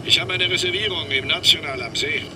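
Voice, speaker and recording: Solicitous, practical male escort played by an actor, higher voice, crime radio drama, loud vehicle noise behind. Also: fairly quick, recorded from a distance, natural voice. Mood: practical, concerned. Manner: polite, practical. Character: brisk, solicitous male escort helping freed prisoners.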